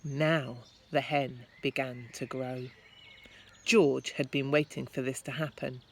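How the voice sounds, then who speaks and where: A woman teacher reading a children's story aloud expressively, with deliberate, dramatic emphasis. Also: extremely low pitch, fairly even intonation, breathy edge.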